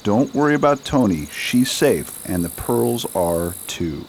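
A man playing a shrewd, secretive detective in a radio drama, brisk and authoritative. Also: medium pitch, big pitch swings, heavy background noise. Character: shrewd, confident male detective, secretive and commanding. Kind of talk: amateur radio drama acting.

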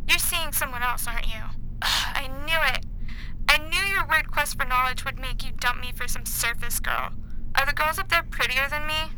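A woman's voice: normal speed, pushed and bright, poor audio. A young woman playing a clingy, cutesy girlfriend in an audio drama, talking in a casual, girlish, sweet way. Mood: jealous. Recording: clear low rumbling background noise.

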